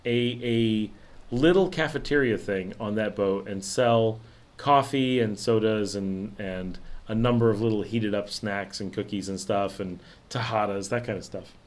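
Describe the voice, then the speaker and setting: A middle-aged man hosting a livestream, chatting calmly in an unhurried, mellow way, his voice a little raspy tonight. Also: lowish voice. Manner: calm, unhurried, mellow talker. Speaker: livestream host. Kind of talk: casual chat.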